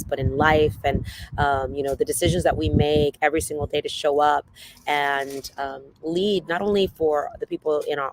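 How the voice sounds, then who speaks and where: A man's voice: a little high, poor audio. A man giving a motivational talk in an effusive, heartfelt way, full of "you know".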